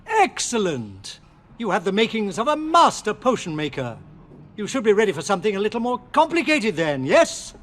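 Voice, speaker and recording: An actor playing a jovial older professor with a pompous, theatrical delivery in a video game. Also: male voice, sits high, wildly swooping pitch, normal speed, fairly bright tone, full character voice. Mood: jovial, encouraging. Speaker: teacher.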